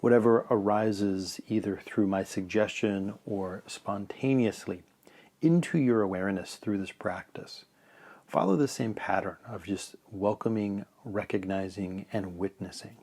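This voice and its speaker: A man leading a guided meditation in a calm, measured way, letting phrase ends trail off softly. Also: on the low side, breathy edge.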